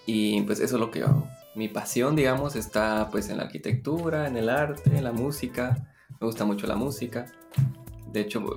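A man's voice: fairly low pitch, gravelly. A man from Guatemala co-hosting a podcast in loose, casual chat, recorded at a home, with a habit of tagging his sentences with little fillers. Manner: loose and chatty, leans on the fillers "pues" and "¿no?". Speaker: podcast co-host. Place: a home in Mexico, recording in person.

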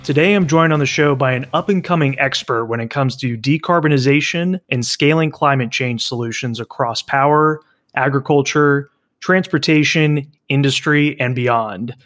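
Young male podcast host, mid-pitched, upbeat casual talker. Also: normal speed, a bit bright. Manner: upbeat, chatty, filler-heavy. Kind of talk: podcast intro and interview hosting.

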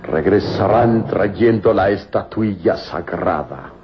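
An actor playing a huge, brutal, gloating villain in an old-time radio serial, with a theatrical, imperious and contemptuous delivery. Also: male voice, deep voice, takes it slowly. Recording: muffled, noisy old radio recording.

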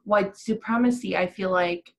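A woman's voice: medium pitch, normal speed, gravelly. A woman co-hosting a podcast, speaking in a conversational interview style. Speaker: podcast co-host.

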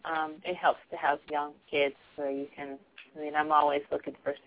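A soft-spoken woman talking reflectively in a casual group discussion, laughing now and then. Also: deep voice, little pitch movement, normal speed. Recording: tinny phone-like line.

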